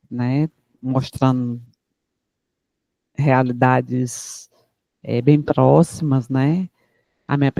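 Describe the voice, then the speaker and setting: A woman from Fortaleza with a Cearense accent, giving halting, emotional remarks from the audience at a panel. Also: rumbling low, mellow, no echo. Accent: Cearense Brazilian Portuguese. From Fortaleza, Ceará, Brazil. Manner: halting, emotional, many fillers. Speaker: audience member and researcher.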